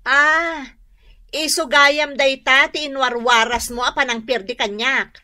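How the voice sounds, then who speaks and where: A woman's voice: high voice, steady tone, measured pace, pushed and bright, no room sound. A woman playing a defensive, querulous village neighbor in a comedic Ilocano radio drama, full of exclamations. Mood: offended.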